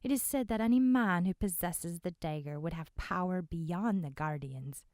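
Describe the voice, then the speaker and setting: A woman voicing a soft-spoken man at an inn. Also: lowish voice, moderate pace, no echo, clean studio sound, natural voice. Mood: gentle. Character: soft-spoken man recalling bard tales.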